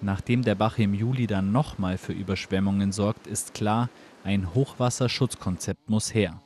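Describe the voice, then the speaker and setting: A man reporter reading a scripted news voice-over in a steady, matter-of-fact way. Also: lowish voice, normal speed, slight background noise.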